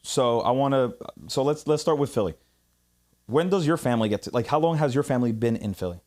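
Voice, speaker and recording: A man's voice: medium pitch, lively intonation, fast talker, darkish tone. A man from Puerto Rico hosting a casual studio podcast interview, joking, cursing freely and often restarting his sentences. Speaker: podcast host. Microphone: studio mic.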